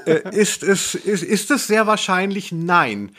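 Slightly high-voiced man, ironic podcast chat. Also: animated, slow, pushed and bright. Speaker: podcast co-host. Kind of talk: casual chat, review of audio dramas.

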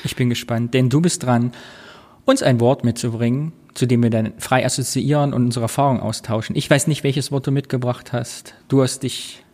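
A man's voice: mid-range voice, fairly even intonation, fairly quick, a little mellow. Chatty male podcast co-host. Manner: chatty, reads listener comments, storytelling. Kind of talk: casual podcast chat.

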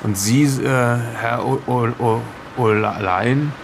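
A man's voice: mid-range voice, slow pace. A man playing a chatty, nosy aging fisherman in an improvised audio drama, rambling and repeating himself. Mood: curious, probing. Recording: clearly noisy background, vehicle-like ambience.

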